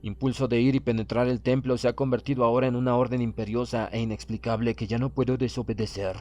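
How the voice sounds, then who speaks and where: Hombre que interpreta a un oficial prusiano solemne y condenado. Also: male voice, medium pitch, little pitch movement, quick pace, darkish tone, lightly acted. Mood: grave dread. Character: proud, doomed Prussian naval officer writing his last account. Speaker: audiobook reader. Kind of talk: dramatic horror audiobook narration.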